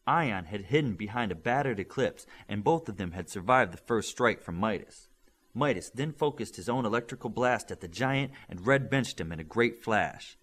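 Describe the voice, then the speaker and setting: A man reading an audiobook aloud, with steady, expressive storytelling. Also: lowish voice. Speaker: audiobook reader. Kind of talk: audiobook narration.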